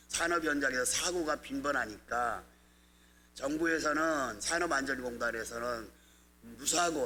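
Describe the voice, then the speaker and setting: Male pastor, bright and slightly raised pitch, chatty preaching style, light background hum. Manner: conversational preaching with questions to the congregation. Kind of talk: sermon.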